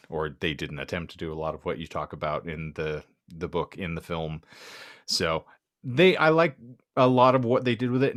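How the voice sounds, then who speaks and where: A man with a very deep voice chats casually as a podcast co-host. He speaks in long, wordy sentences with a wry edge and slightly theatrical phrasing. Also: fairly bright tone. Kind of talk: casual book-club podcast chat.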